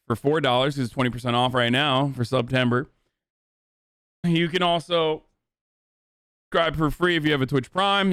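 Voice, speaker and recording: Male streamer, casual profane reaction commentary, smooth clear voice with a dry close sound. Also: medium pitch, fairly bright tone, no echo. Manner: loose and profane, laughing asides.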